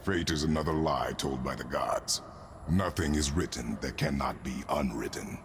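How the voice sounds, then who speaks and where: A man's voice: a bass voice, moderate pace, a little hiss or hum. An actor playing a stern, brooding warrior father in a video game, terse and grave in his speech. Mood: grim defiance. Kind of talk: video game character acting.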